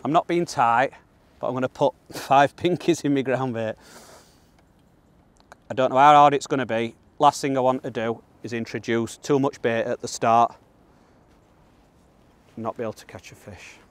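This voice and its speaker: A Northern English man presents a fishing guide from a pond bank. His voice sits at a medium pitch and is captured quite dry, with little echo. He talks in a plain, colloquial, matter-of-fact way as he explains what he is doing. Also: moderate pace, pauses often. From northern England. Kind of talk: casual instructional commentary while fishing.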